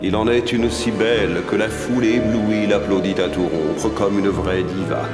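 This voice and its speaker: A man's voice: lowish voice, fairly even intonation, normal speed, close to an everyday voice. Solemn echoing magic mirror spirit, male actor. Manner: declaiming in rhymed verse. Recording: echoey hall under loud music.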